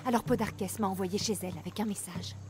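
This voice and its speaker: Une actrice de doublage jouant une mendiante triste, ancienne servante, au ton grave de confidence, dans un jeu vidéo. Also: female voice, medium pitch, fast talker, lightly acted. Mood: sorrowful. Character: sorrowful beggar woman, former servant. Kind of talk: dubbed video game acting.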